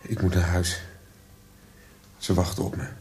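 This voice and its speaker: An actor playing a troubled, guilt-laden son in a radio drama, speaking tersely and haltingly. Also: male voice, deep voice, flat delivery, a little mellow, husky, soft background music. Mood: subdued.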